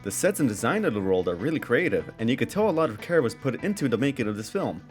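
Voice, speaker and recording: A man narrates a film review in a mid-pitched, lively voice that moves up and down. The delivery is casual and informal, like a scripted video essay, with music playing underneath. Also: fast. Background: background music under voice-over.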